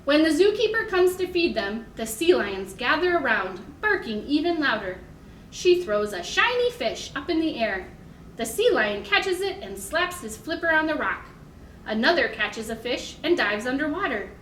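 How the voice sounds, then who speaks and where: A high-pitched American woman speaks in an echoey room with faint background noise. She leads toddler storytime, addressing small children in simple, encouraging phrases and questions. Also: sing-song, moderate pace, a bit bright, distant mic. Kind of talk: picture-book reading and sing-along for toddlers.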